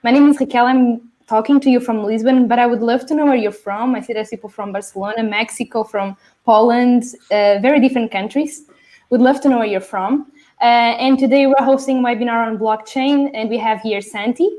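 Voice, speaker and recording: A woman's voice: a little high, fairly even intonation, fairly quick, warm tone. A woman hosting a live online webinar, chatty, greeting viewers and reading out messages from the chat. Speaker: webinar host.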